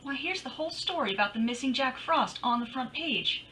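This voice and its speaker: A woman acts out a brassy lady private eye from a radio mystery. Her high voice swoops animatedly through wry, slangy wisecracks, picked up from some distance off the microphone. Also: lively intonation, a little fast, sharp tone, slight background noise. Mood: dry, wisecracking. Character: brassy, wisecracking female private detective, persuasive and breezy. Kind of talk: old-time radio detective acting and narration.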